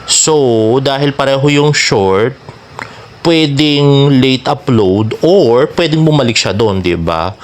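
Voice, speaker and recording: A Filipino man with an average-pitched voice and highly animated intonation. He talks casually like a showbiz fan vlogger, chatty and jokey. His commentary slips between Tagalog and English with a teasing tone. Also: slow. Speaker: celebrity fan vlogger and host.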